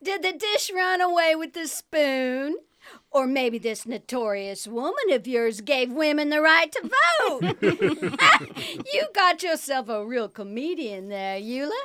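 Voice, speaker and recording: An actress playing a flirty, macabre Southern country cousin in a radio comedy, with a folksy, exclamatory Southern drawl. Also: female voice, sits high, big pitch swings, slow pace, pushed and bright, exaggerated voice. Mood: scornful, amused. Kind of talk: live radio comedy acting.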